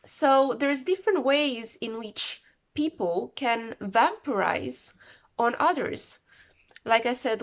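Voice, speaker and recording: A woman with the flu talking casually to camera, rambling and repeating words for emphasis. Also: on the high side. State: sick with flu, voice a bit off. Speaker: vlogger.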